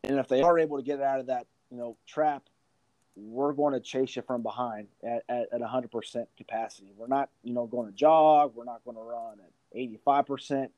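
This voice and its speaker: A man's voice: medium pitch, steady tone, brisk, mellow, no echo, muffled recording. A man speaking as a college basketball coach in an interview, explaining his approach emphatically and repeating points for stress. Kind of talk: interview answers about coaching.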